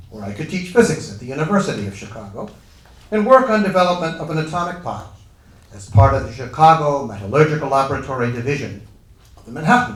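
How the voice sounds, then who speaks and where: A man's voice: mid-range voice, fairly bright tone. A man playing an earnest Italian-born physicist recounting his own life in a formal, declamatory dramatic reading. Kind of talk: first-person dramatic reading of a historical monologue. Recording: echoey room, distant microphone.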